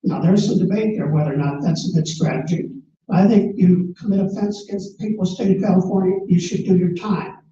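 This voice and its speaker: A man running for district attorney, giving a measured, firm answer at a forum. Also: high voice, warm tone, slight background noise. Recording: echoey hall, distant muffled mic.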